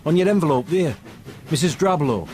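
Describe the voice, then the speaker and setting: A man's voice: on the high side, animated, a little fast, mellow, slight background noise, lightly acted. An actor in a radio play playing a blunt, foreboding local man with a Northern English accent. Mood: ominous, matter-of-fact. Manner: plain-spoken, dour, ominous.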